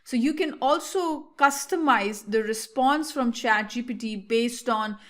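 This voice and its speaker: A woman whose first language is Hindi talks viewers through a screen-share software walkthrough. Her voice sits a little high, and she explains patiently, with frequent fillers and restarts. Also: measured pace. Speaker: tutorial host.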